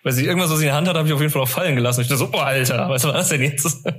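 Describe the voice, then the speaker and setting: A man's voice: a little high, racing, fairly bright tone, slightly rough. A man co-hosting a casual fan podcast, telling stories in a chatty, rambling, slangy way. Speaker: podcast co-host.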